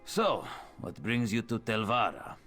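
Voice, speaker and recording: A man's voice: lowish voice, very animated, a little slow, fairly bright tone, faint music behind. A man playing a wary, sardonic tower official with a dry, formal manner in a fantasy video game. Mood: dry, guarded. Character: wary, dry male tower official serving an aloof wizard.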